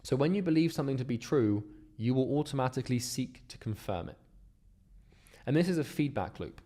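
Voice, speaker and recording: A man teaching a course lesson, explaining ideas step by step in a steady way, with a few repeated words. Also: mid-range voice, brisk. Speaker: course instructor.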